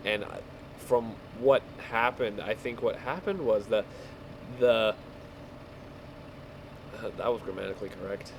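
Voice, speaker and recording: A man casually telling a rambling story while playing a game, halting and repeating words as he goes. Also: lowish voice, noisy background. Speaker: video game player telling stories.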